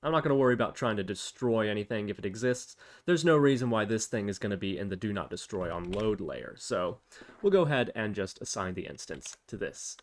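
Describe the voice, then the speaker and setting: A man's voice: fairly low pitch, lively intonation, brisk. Man casually talking through a coding tutorial. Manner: thinks aloud, informal step-by-step explaining. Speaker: programming tutorial host.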